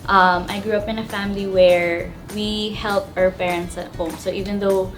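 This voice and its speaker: A woman's voice: mid-range voice, flat delivery, normal speed, soft background music, low-quality recording. A young woman from Texas, a student giving chatty, upbeat interview answers. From Texas, United States.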